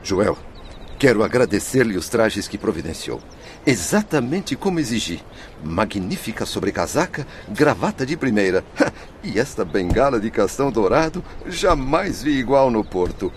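A vain, well-to-do merchant in a radio play. His low voice swings about in lively, pompous phrases, fussy and demanding, with faint outdoor noise behind. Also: moderate pace. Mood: haughty, pleased. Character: vain, demanding male spirit, former wealthy port merchant. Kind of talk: radio drama acting.

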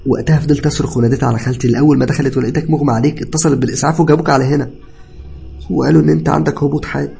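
A man's voice: mid-range voice, fairly even intonation, very fast, vocal fry, mellow, slightly rough, soft background music, voice pushed up, heavily acted. A man voicing a guilt-ridden young cousin who cries, stammers and pleads in Egyptian Arabic, in an audio story. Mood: frantic, pleading. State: crying. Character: distraught tearful young male cousin, confessing guiltily.

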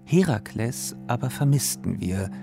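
A man reading literary prose aloud in a measured, articulate way. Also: mid-range voice, expressive, gravelly, over music. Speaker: reader of literary quotations.

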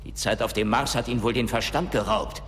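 An actor playing an impatient, domineering company boss in a German radio drama, brusque and given to cursing. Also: male voice, medium pitch, a little fast, fairly bright tone, slight background noise, lightly acted. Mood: scornful, angry. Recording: long reverb, music underneath.

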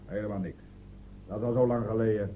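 An actor in a radio play playing a placating village peacemaker with a soothing, reassuring manner. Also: male voice, lowish voice, steady tone, quick pace, lightly acted. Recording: tinny, faintly noisy old radio recording.

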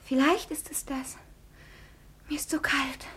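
An actress playing a brave, devoted young daughter in a 1950s radio play, wistful and theatrical, with breathy delivery. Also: female voice, high-pitched, fairly even intonation, unhurried, fairly bright tone, slight background noise. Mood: uneasy but determined.

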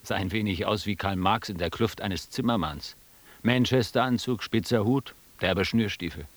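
An actor playing a wry, ironic first-person narrator looking back in a literary radio drama. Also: male voice, lowish voice, normal speed, natural voice. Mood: wry, nostalgic. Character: male first-person narrator, wry reminiscing friend of Pasch.

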